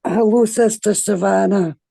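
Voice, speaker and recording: Scottish lady, deep husky gravelly voice, slow n hesitant, dry sound. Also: steady tone, mellow, no echo. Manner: hesitant, many ums. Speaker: caller, a medium seeking advice. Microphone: video call.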